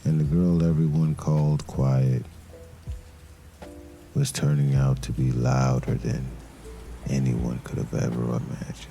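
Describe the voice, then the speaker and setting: A man playing a brooding detective, narrating a crime drama in a somber, deliberate noir style with dramatic pauses. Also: rumbling low, flat delivery, vocal fry, warm tone, exaggerated voice. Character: brooding male detective narrating a murder case. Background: music.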